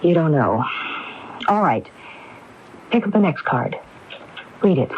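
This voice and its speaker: An actress playing a determined, anguished widow in a radio mystery drama, speaking in an insistent, probing way. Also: female voice, low voice, very animated, slow, slight background noise, full character voice. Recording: dull lo-fi AM radio broadcast recording.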